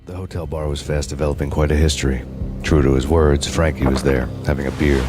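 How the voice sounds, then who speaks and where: A brooding hardboiled fugitive cop in a noir video game. His voice is extremely deep and stays flat and deadpan, with a sardonic, world-weary edge. Music plays behind him. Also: brisk. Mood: dry. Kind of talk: noir scripted narration and game dialogue.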